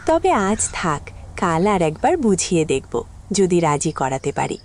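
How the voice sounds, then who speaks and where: A woman's voice: fairly low pitch, sing-song, a little slow, slight background noise. An actress playing an easygoing middle-aged mother in an audio drama, coaxing and mild.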